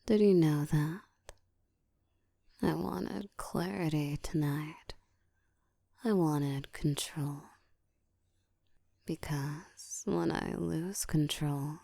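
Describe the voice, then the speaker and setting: Woman acting as an ancient vampire lady, super slow low breathy whisper, bossy and seductive, close asmr. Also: fairly even intonation, dark tone, dry recording, full character voice. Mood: commanding, menacing. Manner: slow, deliberate, teasing, commanding. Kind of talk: intimate ASMR roleplay monologue. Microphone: close microphone.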